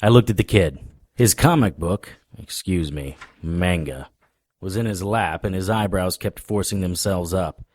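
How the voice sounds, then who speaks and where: An actor playing a wry, world-weary male paranormal investigator, narrating a first-person noir audio drama in a deadpan manner. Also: low voice, measured pace. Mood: wry, matter-of-fact.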